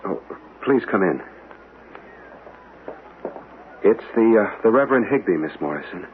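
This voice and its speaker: An actor playing an earnest, courteous gentleman in a ghost mystery, measured and polite in a radio drama. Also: on the low side, animated, close to an everyday voice. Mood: shaken, grave. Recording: narrow, noisy old radio broadcast.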